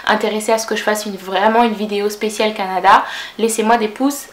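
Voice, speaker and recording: A woman's voice: mid-range voice, fairly even intonation, quick pace, roomy sound. A woman in her twenties from France with a Parisian French accent, answering viewer questions in a casual vlog, chatty, with lots of fillers and self-corrections. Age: 20s. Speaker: vlogger.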